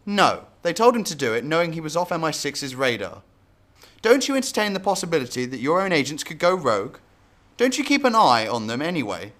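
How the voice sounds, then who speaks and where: A man's voice: a little high, a bit bright. A man acting a blunt, scornful security service chief in a radio drama, sarcastic.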